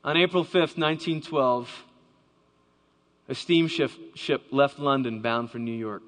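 A man's voice: medium pitch, fairly even intonation, a little slow, a bit bright. A man giving a dramatic storytelling talk to a live audience, acting out the voices he quotes. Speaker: speaker. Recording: long hall reverb.

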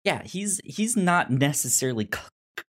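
A man's voice: on the high side, very animated, normal speed, fairly bright tone. A man co-hosting a podcast, chatting casually about his opinions, with a stutter that repeats the starts of words. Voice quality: stutter, repeating initial sounds. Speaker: podcast co-host. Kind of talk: casual opinionated review chat.

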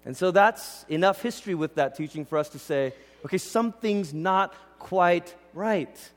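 A man's voice: high-pitched, moderate pace. A man from Malaysia preaching as a pastor in a conversational, informal teaching style, often voicing quoted asides. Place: large indoor church hall. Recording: reverberant hall sound.

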